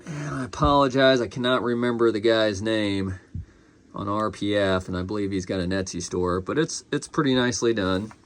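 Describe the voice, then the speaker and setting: A man's voice: fairly low pitch, normal speed, a little hiss or hum. A man casually reviewing a collectible in an unscripted, conversational way.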